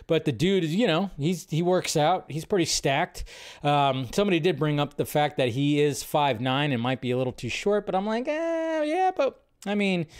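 Male live-show host, higher-pitched voice, loose off-the-cuff commentary, tends to repeat himself while thinking aloud. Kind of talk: casual rambling commentary.

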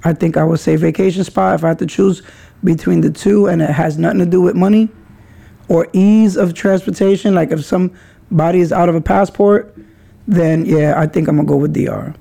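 A middle-aged man from the Bronx talks through his podcast in a loose, rambling way. His voice sits high for a man and is gravelly and dark. He hedges and circles back as he goes. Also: steady tone, brisk. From New York, the Bronx. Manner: rambling, hedging, conversational. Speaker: podcast host. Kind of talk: casual solo podcast.

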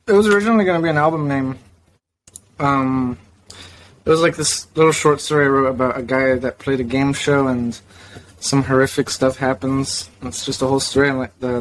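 A man's voice: medium pitch, steady tone, brisk, poor audio. A young man from South Florida chats as a podcast guest. He sounds laid-back and a little hesitant, with a mid-range voice and blunt opinions. A faint hiss sits under his voice. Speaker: guitarist and singer, guest. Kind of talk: casual interview chat.

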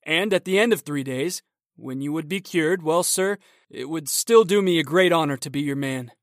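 A man's voice: on the high side, normal speed, no room sound, studio quality, lightly acted. A man reading the part of a young, polite swordsman newly arrived in the city, earnest and courteous. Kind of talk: audiobook character reading.

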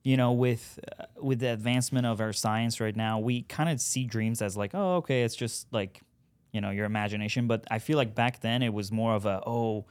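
A man's voice: medium pitch, brisk, clear, resonant tone, no room sound. A man from Iran whose first language is Farsi, a guest chatting in a friendly, easygoing way. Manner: friendly, easygoing, laughs along. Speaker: podcast guest, real estate agent. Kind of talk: casual interview chat.

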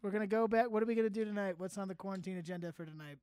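A man co-hosting a casual podcast, tossing in playful jokes and quick banter. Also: sits high, darkish tone, clear, resonant tone, no room sound. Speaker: podcast co-host. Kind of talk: casual chat.

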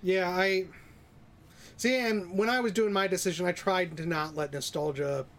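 A man's voice: high-pitched, fairly even intonation, normal speed, fairly bright tone. A man co-hosting a podcast, giving blunt, opinionated takes in casual, sweary chat. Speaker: podcast host.

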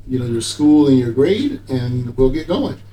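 A man's voice: mid-range voice, big pitch swings, a little slow, warm tone, a little hiss or hum. A middle-aged man hosting a conversational interview, encouraging and echoing back what his guests say. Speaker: talk show host, school superintendent.

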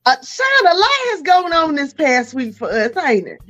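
A woman's voice: high voice, big pitch swings, normal speed, fairly bright tone, dull audio. A woman in her fifties hosting a comedy podcast, chatting casually and telling stories in a blunt, playful, slangy way. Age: 50s.

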